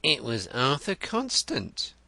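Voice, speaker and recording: A shrewd, wry detective in a storybook reading, voiced by a male narrator. He asks his questions slowly, with dry, teasing humor and a bright tone. The recording is close and dry. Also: on the high side, huge pitch swings, dry recording, voice pushed up, full character voice.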